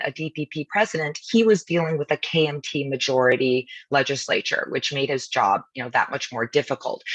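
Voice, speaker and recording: A woman who is a law professor, speaking with a husky voice over a video call in a media briefing and explaining things in a brisk, informal way. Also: low-pitched, fairly bright tone, no room sound. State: husky voice from nonstop talking.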